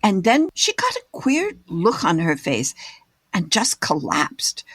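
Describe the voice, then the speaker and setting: An actress playing a nervous, deferential, literal-minded maidservant who rambles, in a period audio drama. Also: female voice, fairly low pitch, wildly swooping pitch, takes it slowly, fairly bright tone, slightly rough, no room sound, full character voice. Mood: flustered, defensive.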